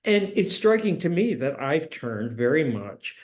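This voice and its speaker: A man in his seventies teaching a course, talking in a halting way with many restarts and fillers, and reading passages from a book aloud. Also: on the high side, big pitch swings. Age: 70s. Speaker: course instructor. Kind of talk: lecture with read-aloud passages.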